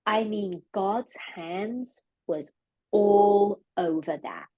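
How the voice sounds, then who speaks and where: A woman with an English accent talks through her life in a relaxed conversation. She is earnest and reflective, circling back and restarting her phrases as she thinks. Her voice sits at a middle pitch and is recorded close and dry, with no room around it. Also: very slow, slightly rough, no echo, narrow, tinny audio. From London. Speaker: podcast guest, leadership coach. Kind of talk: casual conversation.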